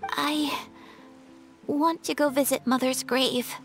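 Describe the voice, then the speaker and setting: Very high-voiced, fiery young musketeer. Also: female voice, steady tone, slow pace, fairly bright tone, soft background music, heavily acted. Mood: soft relief. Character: fiery, defiant young female musketeer avenging her mother. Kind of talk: theatrical anime game acting.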